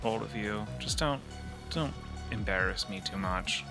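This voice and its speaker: A man's voice: fairly low pitch, a little slow, gravelly. A man voicing a self-important, breezy fellow in a tabletop game, awkward and evasive. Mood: fussy, evasive. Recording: music behind the voice.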